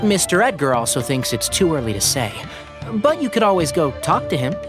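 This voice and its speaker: Casting: a calm young explainer, fairly high and lively in tone, music underneath. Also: male voice, brisk. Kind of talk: video game voice acting.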